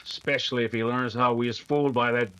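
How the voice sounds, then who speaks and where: A man's voice: medium pitch, fairly even intonation, fast talker, bright, forceful tone, smooth, lightly acted. A man playing a dim, uneasy outlaw sidekick with folksy, ungrammatical Western talk in an old-time radio drama. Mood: doubtful.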